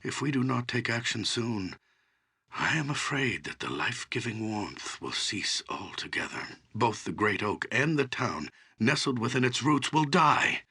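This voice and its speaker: An actor playing a grave old archdruid in a fantasy video game, speaking solemnly and deliberately with a gravelly, husky voice. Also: male voice, mid-range voice, very animated, bright, forceful tone, exaggerated voice. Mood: grave, foreboding. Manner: solemn, deliberate, portentous storytelling.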